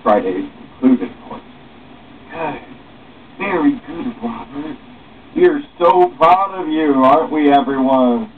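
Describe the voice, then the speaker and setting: A young man doing an animated dramatic reading of dialogue, playing all the parts himself in a halting delivery. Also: mid-range voice. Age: young adult. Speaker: author reading own script aloud. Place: small room at home, seated. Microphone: far camera or webcam microphone. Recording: muffled phone-like webcam sound, distant, noisy.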